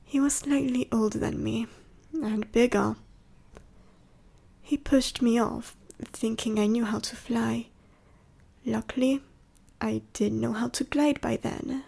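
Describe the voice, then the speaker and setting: A woman playing an ancient, dying female dragon in an ASMR roleplay, telling a sorrowful life story slowly and haltingly in a breathy, raspy whisper. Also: a little high, steady tone, dark tone, heavily acted. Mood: somber, reminiscing. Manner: halting, slow, solemn storytelling. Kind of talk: ASMR audio roleplay monologue to the listener.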